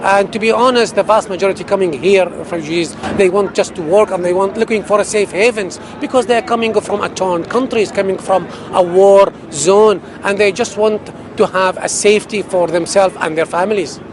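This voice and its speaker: A man's voice: high-pitched, normal speed, hardly any pauses, crowd noise. A man speaking earnestly in slightly non-native English at a rally. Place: outdoors at a city rally. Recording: outdoor traffic noise.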